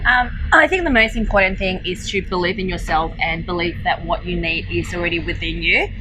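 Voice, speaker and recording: A woman's voice: lowish voice, fairly quick, noisy background. A woman from Malaysia speaking casually as an interview guest, explaining her work and giving advice. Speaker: interview guest, digital marketer.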